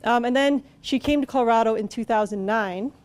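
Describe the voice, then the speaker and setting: A woman's voice: fairly high pitch, a little fast, a little mellow. Chatty woman introducing a speaker. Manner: chatty, filler-heavy. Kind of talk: introduction at a public talk. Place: event room at a store with a small seated audience. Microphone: handheld mic.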